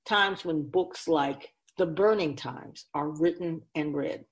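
A woman's voice: low-pitched, slow pace. A woman playing a wise, grave otherworldly keeper of souls in a radio drama, speaking in an oracular, instructive and emphatic way.

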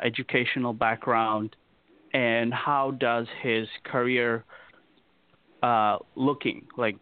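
A man's voice: mid-range voice, dry recording. A man, a company co-founder, answering interview questions, explaining patiently with many fillers. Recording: dry, tinny phone-line audio.

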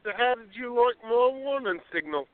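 A man's voice: very high-pitched, expressive, normal speed. A man playing a cheeky, mischievous neighbour with a teasing manner in a radio play. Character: Earl, cheeky, grinning male neighbour. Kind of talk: amateur radio play acting. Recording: thin phone-like audio, dry.

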